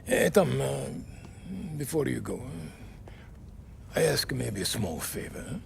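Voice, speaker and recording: An actor with an Italian accent playing a fatherly bar owner in a video game, speaking halting broken English. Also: medium pitch, very animated, vocal fry, slight background noise, exaggerated voice. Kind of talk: video game cutscene acting.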